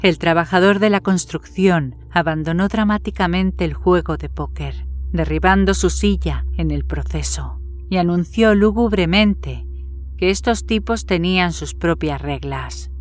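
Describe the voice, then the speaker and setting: A woman narrates a scripted horror story. Her voice is on the low side for a woman, and faint music plays underneath as she reads. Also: a little slow. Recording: music behind the voice.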